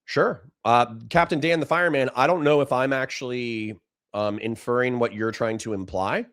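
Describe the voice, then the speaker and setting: A man's voice: medium pitch, a bit bright. A man with a dry voice giving blunt, profane political commentary to a livestream chat. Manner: blunt, profane, fast restarts.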